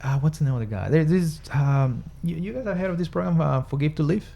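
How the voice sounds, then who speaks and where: A man's voice: a little high, quick pace, vocal fry, warm tone, muffled recording. A man with a Spanish-speaking accent talking as a guest professional in a panel discussion, explaining things conversationally with analogies and rhetorical questions. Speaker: guest mental health professional.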